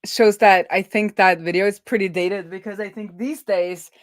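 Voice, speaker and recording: A woman's voice: mid-range voice, fairly even intonation, moderate pace, no echo, clean studio sound. A woman chatting casually and informally on a livestream, thinking aloud as she works. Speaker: livestream co-host and web developer. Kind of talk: casual livestream chat while working through code.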